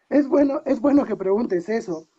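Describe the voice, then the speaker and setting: A man's voice: very high-pitched, expressive, slow pace, dark tone, breathy edge, no echo, lo-fi sound. A man with a Peruvian accent, a guest on a video call, talking reflectively and asking himself many rhetorical questions. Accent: Peruvian Spanish. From Peru. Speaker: guest coach. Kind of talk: casual interview talk.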